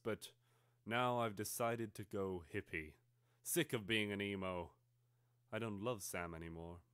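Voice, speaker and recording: A man voicing a melodramatic twelve-year-old schoolgirl confiding in her diary, theatrical and overwrought, swinging between hushed and exclaiming. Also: lowish voice, no echo, clean studio sound. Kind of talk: theatrical dramatic reading of a teenage diary.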